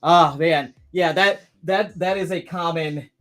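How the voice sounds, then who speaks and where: A man's voice: on the high side, slow, a bit bright, faint music behind. A man in his thirties hosting a live stream, chatting casually and rambling with many restarts while reading viewer comments. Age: 30s.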